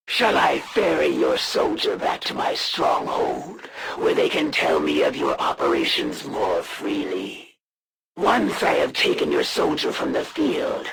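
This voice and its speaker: An actor voicing a proud, menacing female alien assassin from a video game, with formal, haughty threats and a gravelly, breathy delivery. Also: mid-range voice, a bit bright, a little hiss or hum, heavily acted. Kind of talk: video game villain voice acting.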